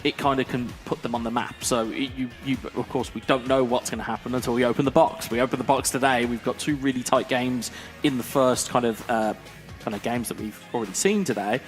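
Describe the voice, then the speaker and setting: A man's voice: mid-range voice, steady tone, fairly quick, soft background music. A man doing co-commentary on an esports football event, talking things through with lots of fillers. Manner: thoughtful, with many fillers like "kind of". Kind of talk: analytical co-commentary.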